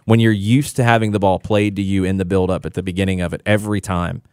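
A man's voice: low voice, level tone, quick pace, darkish tone, clean tone, no room sound, clean studio sound. A man analyzing soccer as a guest on a radio sports talk show, explaining tactics confidently.

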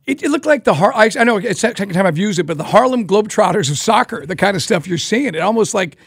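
A man's voice: high-pitched, animated, husky, no room sound, studio quality. A man co-hosting a radio sports talk show, bantering and joking with his guest. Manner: jokey, rapid banter.

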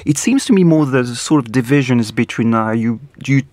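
A man's voice: mid-range voice, lively intonation, a little fast, darkish tone, no echo. A French man speaks English as a second language on a radio panel, with a French accent. He hesitates and restarts often. The studio sound is dry and close. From France. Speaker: studio guest commentator.

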